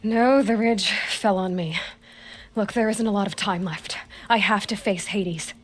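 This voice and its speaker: A woman's voice: mid-range voice, unhurried, natural voice. An actress playing a determined, defiant young warrior woman in a video game, speaking tersely and resolutely. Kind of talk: video game drama acting. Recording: noisy game scene ambience.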